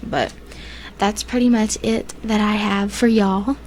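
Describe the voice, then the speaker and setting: A woman's voice: mid-range voice, steady tone, unhurried, darkish tone, a little hiss or hum. A young woman with a Southern US accent telling ghost stories casually as a podcast co-host, informal and hesitant. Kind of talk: casual ghost-story chat.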